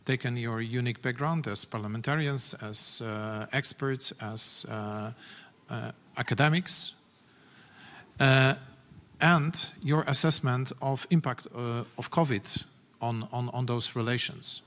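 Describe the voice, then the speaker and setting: A man's voice: medium pitch, a little slow, a bit bright. Hesitant male moderator in a reverberant hall. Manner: hesitant, many "uh" fillers. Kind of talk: formal panel introductions.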